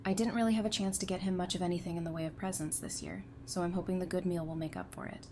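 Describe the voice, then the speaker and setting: A woman's voice: on the low side, steady tone, fast talker, dark tone, slight background noise, close to an everyday voice. A woman playing a frank, devoted survivor on a community council in an audio drama. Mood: determined.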